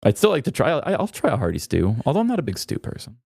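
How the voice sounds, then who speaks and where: A man's voice: mid-range voice, huge pitch swings, quick pace, vocal fry, a little mellow, breathy edge, no echo, studio quality. A man co-hosting a podcast in casual comedic banter, with a wry, joking manner. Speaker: podcast co-host.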